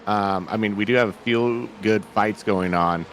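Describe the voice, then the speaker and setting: Male co-commentator, deep voice, informal and tentative, thinking aloud; clear hum on the mic. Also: moderate pace. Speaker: race co-commentator. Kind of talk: casual sim racing commentary.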